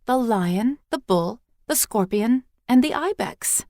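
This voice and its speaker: Casting: adult woman as curious, excitable young girl for storybook fantasy, raised pitch, dry close sound. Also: slow, dry recording. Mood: excited. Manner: excited, questioning.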